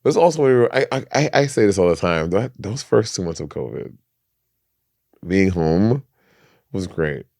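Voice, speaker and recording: Chatty low-voiced man, campy and animated. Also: normal speed, gravelly, pauses often, no echo, studio quality. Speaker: podcast co-host. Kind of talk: casual chat.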